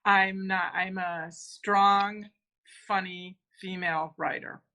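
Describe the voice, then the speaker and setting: A woman author being interviewed, talking casually and haltingly, restarting her sentences. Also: mid-range voice, fairly even intonation, sharp tone. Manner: hesitant, many fillers and restarts. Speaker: author guest.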